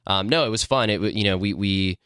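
A man's voice: on the low side, a little fast, fairly bright tone, clear, resonant tone, dry recording. A man in his thirties from Illinois, a company co-founder answering interview questions in a rambling way, full of fillers. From Illinois, USA.

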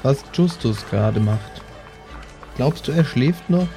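A man voicing a prim, kindly but strict lady adventurer in an improvised roleplay audio drama, genteel and gently scolding. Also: mid-range voice, lively intonation, unhurried, creaky, soft background music. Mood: mildly worried.

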